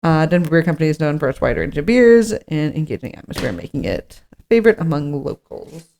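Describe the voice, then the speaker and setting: Woman, slightly low pitch, podcast co-host, informal chat, partly read from notes. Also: very animated, brisk, gravelly, darkish tone, a little hiss or hum.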